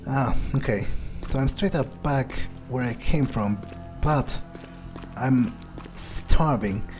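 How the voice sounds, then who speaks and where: A man's voice: mid-range voice, takes it slowly, music in the background. A man playing a game in character, thinking aloud in a casual, hesitant, musing way.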